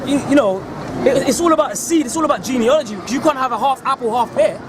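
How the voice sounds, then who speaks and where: A man's voice: very high-pitched, animated, fast. A man with a London accent arguing with rhetorical questions, calling people "bro.". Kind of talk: street preaching discussion. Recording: crowd and street noise.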